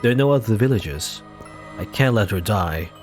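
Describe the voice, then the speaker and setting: A man voicing a brooding male beast-man who hides among humans, speaking in low, hushed, anxious tones. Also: animated, normal speed, faint music behind, close to an everyday voice.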